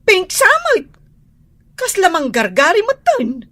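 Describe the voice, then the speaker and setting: A woman's voice: extremely high pitch, wildly swooping pitch, slow pace, a bit bright, dry recording, heavily acted. An actress with an Ilocano accent playing a gossipy, scandalized village woman who exclaims and tuts in a comedy radio drama.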